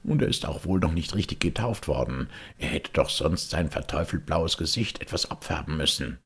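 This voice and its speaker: A man plays a gruff old whaling captain in an audiobook. He is blunt, hearty and bossy. His rough, raspy sailor's voice moves up and down freely. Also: a bass voice, expressive, moderate pace, a bit bright, heavily acted. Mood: mocking.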